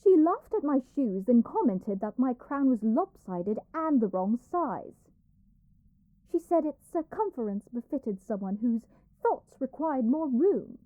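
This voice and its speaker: A woman playing a haughty, animated spoiled princess. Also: high voice, unhurried, no room sound, narrow, tinny audio. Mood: sulky. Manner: haughty, theatrical, complaining. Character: arrogant young princess, haughty, petulant and spoiled. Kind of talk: dramatic roleplay audio acting.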